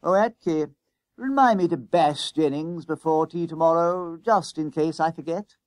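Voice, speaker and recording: A man's voice: a little high, moderate pace, darkish tone, no room sound, voice pushed up, lightly acted. A man voicing a bossy, hot-tempered, boastful schoolboy who blusters in boarding-school slang for a storybook.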